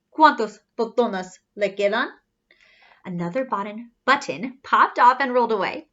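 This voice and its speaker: Woman children's storyteller: high, very lively pitch swings, teacherly read-aloud delivery, singsong refrains, slightly muffled recording. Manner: animated, teacherly, spelling things out for young children. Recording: slightly dull, lo-fi sound.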